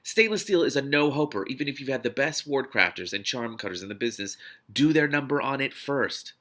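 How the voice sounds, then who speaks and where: A man's voice: medium pitch, animated, brisk, pushed and bright. A man voicing a blunt, wisecracking male field agent from a novel, speechifying in emphatic slang. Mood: incredulous, emphatic.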